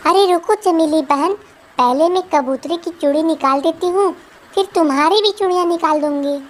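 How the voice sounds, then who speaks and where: A woman voicing an excitable female sparrow shopkeeper in a children's cartoon, with a squeaky, childlike voice and dramatic exclamations. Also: normal speed, slight background noise. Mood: brisk, scolding.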